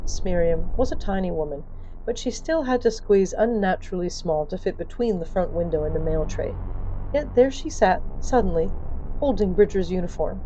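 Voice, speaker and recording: A woman's voice: on the low side, expressive, normal speed, dark tone, muffled recording, a little hiss or hum. A woman narrating comic audio fiction in a playful, wry manner, with asides to the listener. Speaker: story narrator. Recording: band-limited sound, music behind.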